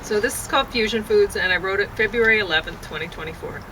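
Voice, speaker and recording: A woman chatting casually and haltingly, thinking aloud as she goes and often breaking off to rephrase. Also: bright, forceful tone. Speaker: vlogger and amateur poet. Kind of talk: casual rambling chat to camera. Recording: noisy, distant mic, traffic-like rumble.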